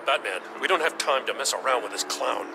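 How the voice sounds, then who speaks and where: A man's voice: mid-range voice, expressive, a little fast, gravelly, background noise. A man playing a weary, no-nonsense police commissioner in a video game, blunt and matter-of-fact. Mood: exasperated. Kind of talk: video game character acting.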